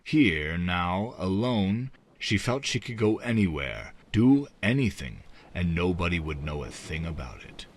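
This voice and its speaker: A man reading a gothic fantasy audiobook as scripted narration, with measured, dramatic phrasing that often drops softly at the ends of phrases. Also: deep voice, very animated.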